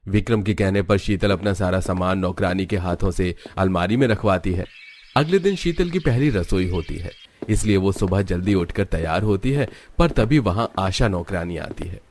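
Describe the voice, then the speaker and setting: A man's voice: low-pitched, animated, normal speed, darkish tone, a little hiss or hum. A man reading scripted storybook narration for a cartoon tale.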